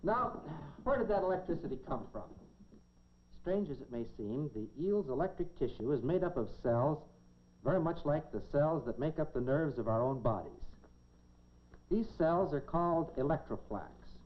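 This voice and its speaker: A man presenting a science demonstration in a 1950s educational film, in a measured, folksy showman's way with dry humor. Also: fairly high pitch, animated. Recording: dull, noisy 1950s educational film soundtrack.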